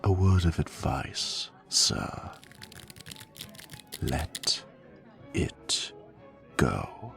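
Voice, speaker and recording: A man's voice: rumbling low, wildly swooping pitch, drawn-out words, vocal fry, pauses often, a bit bright, faint music behind, full character voice. A man playing an elegant, dryly witty fae butler in an audio drama, speaking with formal, courtly politeness. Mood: dry, admonishing. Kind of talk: scripted audio roleplay acting.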